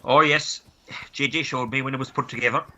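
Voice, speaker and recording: A bemused, easygoing Irish man from a comic radio play, played by an actor. His voice is bright and forward, on a slightly muffled recording, as he looks on curiously. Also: medium pitch, fairly even intonation, brisk. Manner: bemused running commentary.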